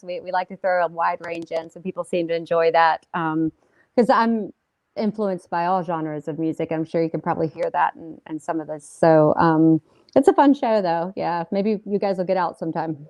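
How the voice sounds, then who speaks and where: A woman's voice: on the low side, steady tone, fairly quick, darkish tone, no room sound, dull audio. A woman singer chatting casually as a guest in an interview, upbeat and full of fillers.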